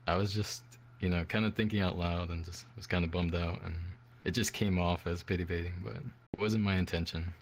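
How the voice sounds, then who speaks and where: Deep, smooth-voiced man. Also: fairly even intonation, a little fast, hardly any pauses. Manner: soft-spoken, reflective, modest. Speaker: eliminated contestant being interviewed. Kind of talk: casual online interview. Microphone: desktop mic.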